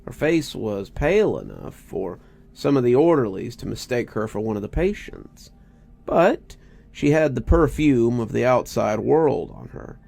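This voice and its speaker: A man's voice: medium pitch, very animated, measured pace, creaky, dark tone. A man narrating a scripted story in a dramatic reading style. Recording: music bed under narration.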